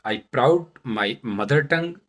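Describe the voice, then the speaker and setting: Indian guy, bundelkhandi native, talking real slow n halting to his viewers, mid pitch voice. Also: animated, a bit bright. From Madhya Pradesh, India. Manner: deliberate, halting phrasing with stressed words. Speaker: vlogger, English learner turned presenter. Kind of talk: self-introduction talk to camera.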